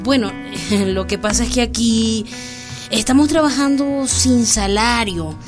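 Woman acting awkward young-sounding volunteer, slow hesitant speech, flustered, radio drama, music behind. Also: fairly high pitch, natural voice.

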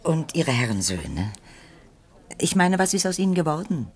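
A woman's voice: low voice, sing-song, moderate pace, darkish tone, breathy edge, heavily acted. An actress playing a prim, easily offended old lady in a German radio play. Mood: prim, polite. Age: older.

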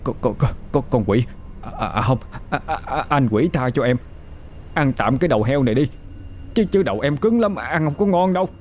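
A man's voice: lively intonation, brisk, a little mellow, a little hiss or hum, lightly acted. A man with a Southern Vietnamese accent voicing a chubby, timid young lad who stammers in panic. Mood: terrified stammer. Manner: stammering, panicked, squeaky when scared.

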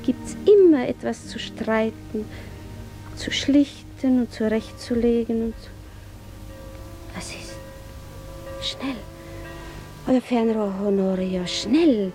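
High-voiced young princess. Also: female voice, takes it slowly, pauses often, warm tone, faint music behind, poor audio. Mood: alarmed, urgent. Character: young noble princess, spirited and sensitive. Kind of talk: German radio drama acting.

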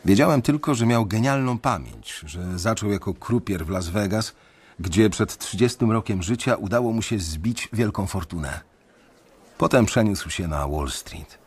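A young man narrating a radio drama in the first person, measured and brooding. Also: fairly low pitch, slightly rough. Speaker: narrator and main character.

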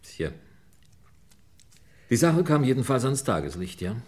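A man's voice: mid-range voice, sing-song, measured pace, a little mellow. A man playing a shrewd, impatient police inspector in a mid-century German radio crime drama, probing and commanding. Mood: sceptical, pressing.

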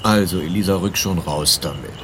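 A man's voice: deep voice, a little fast, noisy background, close to an everyday voice. An actor playing a weary, laconic private detective in his thirties in a German radio drama. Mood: wary.

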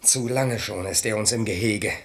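A man's voice: on the low side, normal speed, fairly bright tone. A man playing an impulsive, indignant young son, vehemently declaiming verse in a radio comedy. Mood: indignant, defiant. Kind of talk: German radio play of classical verse comedy.

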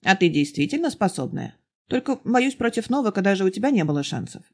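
A narrator voicing a precocious, unflappable twelve-year-old boy with a polite, deadpan manner in a storybook reading. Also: medium pitch, fast, slightly rough.

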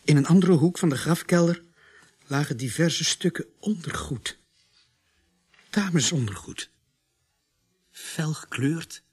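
An actor narrating a macabre radio-play thriller in a grave, dramatic, husky voice. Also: male voice, fairly high pitch, expressive, takes it slowly, pauses often, darkish tone, full character voice. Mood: grave, deliberate.

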